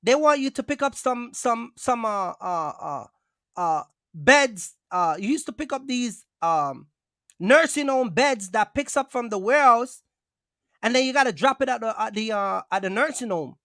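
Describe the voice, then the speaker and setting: Casting: male vlogger, high voice, dry recording, casual streetwise storyteller, emphatic and repetitive. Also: animated, normal speed, pushed and bright, dry recording. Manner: emphatic, repetitive, addresses listeners directly. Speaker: box truck owner-operator and vlogger.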